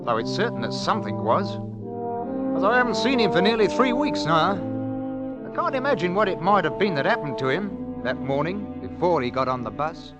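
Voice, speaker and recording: Old radio drama bus conductor, highish swooping voice, polite and earnest, loud music behind. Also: male voice, big pitch swings. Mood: earnest, puzzled. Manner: deferential, halting, earnest. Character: deferential, kindly middle-aged male London bus conductor. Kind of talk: 1940s radio drama acting.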